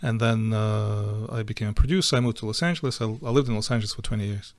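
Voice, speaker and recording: A middle-aged man from Ukraine, a guest telling his story in a casual interview, halting, with many fillers and restarts. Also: on the low side, steady tone, no echo.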